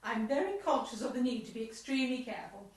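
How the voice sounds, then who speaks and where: A very high-pitched English village chairwoman, played by a woman in an amateur radio comedy. She is officious, prim and fussily gracious. The sound is distant and swims in room echo. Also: expressive, quick pace, a little mellow, natural voice. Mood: gushing, fussy. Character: bossy, fussy village committee chairwoman hosting a meeting. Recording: echoey room, distant microphone.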